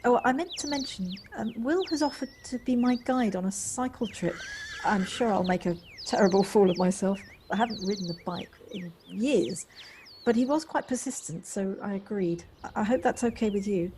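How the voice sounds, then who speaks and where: Casting: actress as curious, friendly woman in her forties, slightly hesitant, mid pitch, faintly noisy home-recorded audio play. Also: moderate pace, mellow, close to an everyday voice. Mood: hesitant, warm. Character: inquisitive, eager forty-five-year-old woman newcomer. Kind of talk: amateur audio drama acting.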